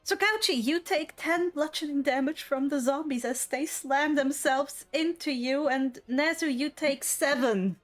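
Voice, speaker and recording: A woman's voice: high-pitched, unhurried, hardly any pauses, soft background music. A woman running an online tabletop roleplaying game as game master, narrating and calling for rolls.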